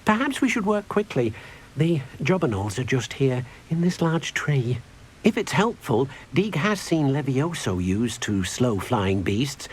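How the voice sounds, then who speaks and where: An actor voicing an earnest, caring male house-elf in a video game, gentle and polite, speaking of himself in the third person. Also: medium pitch, sing-song, a little slow, a little hiss or hum. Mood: caring, careful. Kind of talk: video game character dialogue.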